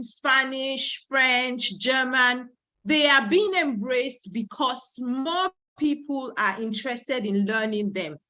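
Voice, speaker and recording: A woman's voice: sits high, sharp tone. A woman in her thirties from Nigeria, giving a conference talk and speaking in a storytelling way, with asides. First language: Yoruba. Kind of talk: presentation talk.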